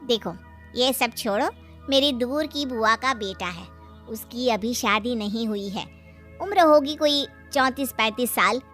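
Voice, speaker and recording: A woman's voice: fairly high pitch, a little slow, fairly bright tone, soft background music. A woman playing a nosy, coaxing neighbourhood auntie and matchmaker in a cartoon story. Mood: meddling. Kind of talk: Hindi cartoon story acting.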